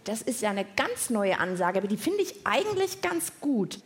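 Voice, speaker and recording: A woman's voice: on the high side, big pitch swings, normal speed, faint music behind. A woman hosting a live children's science show, asking lots of questions in simple, playful everyday words. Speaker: host of a children's science podcast. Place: live on stage at a podcast festival with audience.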